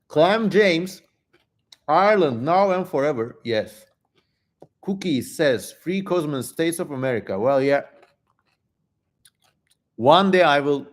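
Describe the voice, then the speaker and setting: A man speaks as the host of a casual livestream. His voice sits at a medium pitch. He talks informally, with a wry edge, and drifts into asides as he goes.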